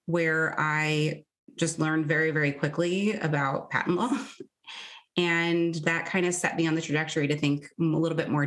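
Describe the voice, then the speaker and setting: Woman interview guest, thirties, low voice, candid and reflective, easy conversational pace. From New Jersey, US. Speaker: podcast guest.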